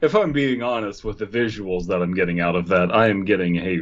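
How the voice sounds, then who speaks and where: A man's voice: low voice, a little fast, highs cut off. A man hosting a comedy podcast, reading a story aloud with casual joking asides. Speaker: podcast host reading a comic horror story.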